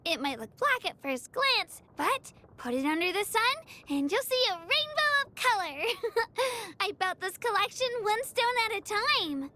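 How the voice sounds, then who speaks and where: A woman's voice: extremely high pitch, sing-song, unhurried, exaggerated voice. A woman voicing a timid, earnest young girl in a video game, with a childlike, hesitant delivery. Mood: cheerful, proud. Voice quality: childlike, soft-edged. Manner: hesitant, earnest, stammering on first words. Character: timid, earnest young girl, tearful yet determined gem collector. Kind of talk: scripted video game character lines.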